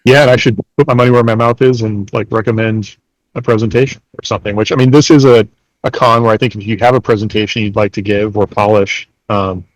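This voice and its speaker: Deep-ish voiced guy casually chatting on a podcast, really dry room sound. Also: steady tone, fast talker, dry recording, low-quality recording. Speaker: podcast host.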